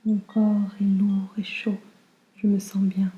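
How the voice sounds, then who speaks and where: Very mellow, soft woman guiding relaxation. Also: mid-range voice, reverberant, dull audio. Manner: calm, soothing, unhurried. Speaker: yoga nidra guide. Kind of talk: slow guided relaxation meditation.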